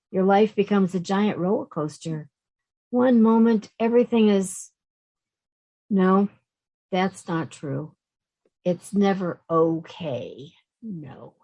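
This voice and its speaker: An actress playing a mature, conflicted widow in a stage play reading, plain-spoken and emotional. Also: female voice, medium pitch, takes it slowly, pauses often, darkish tone, poor audio. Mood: conflicted, bitter. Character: Meryl, mature widow, conflicted between fondness and anger.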